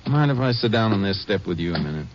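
Male actor as calm lawman, deep voice, plainspoken, kindly, radio western narrator, muffled vintage recording. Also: a little hiss or hum, close to an everyday voice. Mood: kindly, reassuring. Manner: measured, reassuring, plainspoken. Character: calm, steady, kindly Texas Ranger investigator and narrator. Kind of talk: 1950s radio drama acting and narration. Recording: muffled 1950s radio broadcast.

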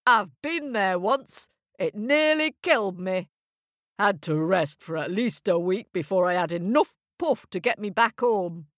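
A woman doing a grumpy, booming north wind. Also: expressive, a little slow, no echo, clean studio sound, natural voice. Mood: gruff. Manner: roaring, booming, gruff.

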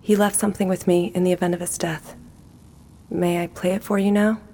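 A woman's voice: on the low side, level tone, a little fast, mellow, a little hiss or hum, close to an everyday voice. A woman voicing a courteous, composed, apologetic virtual assistant in an audio drama. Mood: polite, composed. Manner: courteous, neutral.

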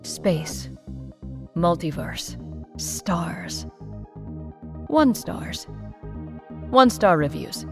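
A woman reads a scripted trailer with big, animated swings of pitch. Music runs prominently underneath her announcing. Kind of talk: scripted podcast promo.

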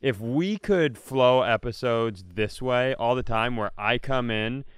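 Comedy podcast co-host, male, fast riffing banter, resonant voice, dead-dry mic. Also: medium pitch, clean tone, no echo. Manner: rapid riffing, halting restarts.

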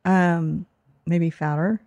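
A woman's voice: fairly low pitch, fairly even intonation, slow pace, darkish tone, dry recording, muffled recording. A woman co-hosts a casual podcast chat in a teasing, playful way, laughing often. Her voice sits close and dry on the mic. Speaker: co-host.

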